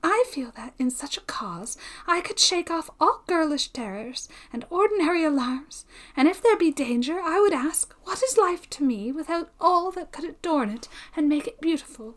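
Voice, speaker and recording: A woman voicing an anxious young woman in love, with a breathy, emotional, pleading delivery, in an audiobook reading. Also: high voice, animated, normal speed, voice pushed up. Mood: earnest.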